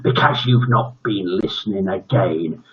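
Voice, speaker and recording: A man voicing a ghoulish, sadistic schoolteacher in a storybook, with slow, drawn-out menace and muttered growls. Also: low voice. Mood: sly menace. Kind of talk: storybook character reading. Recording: dull lo-fi livestream audio.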